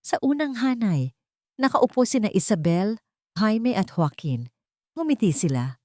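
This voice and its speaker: A woman's voice: mid-range voice, sing-song, measured pace, dark tone, no echo, clean studio sound. A woman reading scripted, dramatic story narration.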